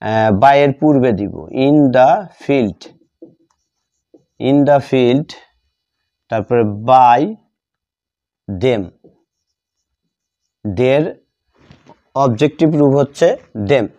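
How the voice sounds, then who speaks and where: A man, a native Bengali speaker, giving a step-by-step grammar lesson as a teacher, dictating deliberately term by term with repetition. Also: medium pitch, mellow, dull audio.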